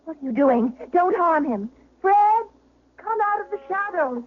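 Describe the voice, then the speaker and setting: An actress playing a refined, insistent duchess in a 1970s radio drama, urgent and imploring. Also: female voice, very high-pitched, animated, unhurried. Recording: narrowband vintage radio recording.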